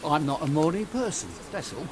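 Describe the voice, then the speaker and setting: Polite older husband, dry and understated but varied in pitch, on a noisy street. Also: male voice, very animated, a little fast. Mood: courteous, dry. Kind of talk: audio drama acting. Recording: noisy outdoor street ambience.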